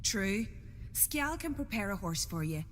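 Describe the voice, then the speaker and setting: An actress playing a cheeky, gossipy young village girl in a video game, with a teasing, folksy, expressive manner. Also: medium pitch, measured pace, a little hiss or hum. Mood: brisk, breezy. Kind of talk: video game cutscene acting.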